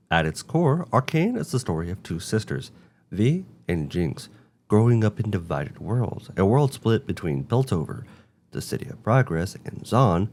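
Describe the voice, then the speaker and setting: Low-voiced man with lively, swinging intonation. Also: a little hiss or hum. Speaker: host of a video game and film review show. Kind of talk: semi-scripted review narration.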